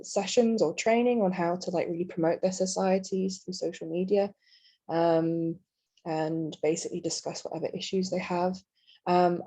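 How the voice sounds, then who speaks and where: A young woman standing as a student candidate, answering on a video call in a halting way with many ums. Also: lowish voice, warm tone. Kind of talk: answering a hustings question.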